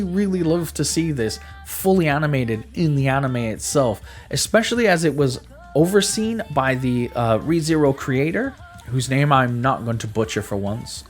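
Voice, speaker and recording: Animated male game reviewer with music behind. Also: on the high side. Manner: conversational, emphatic, stammers and repeats words. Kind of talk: casual video game review to camera or voice-over.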